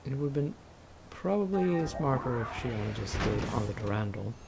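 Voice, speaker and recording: A man's voice: mid-range voice, expressive, moderate pace, gravelly, background noise. A man giving casual, hesitant, dryly musing commentary as he plays through a video game. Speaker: video game let's player. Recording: commentary over audible game sound. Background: game audio.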